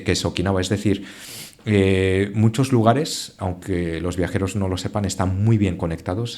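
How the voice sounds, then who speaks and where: A man's voice: sits low, a little mellow. A man whose first language is Japanese, speaking as a guest in a podcast interview, thoughtful, with frequent hesitations and restarts. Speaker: translator and Japan expert guest.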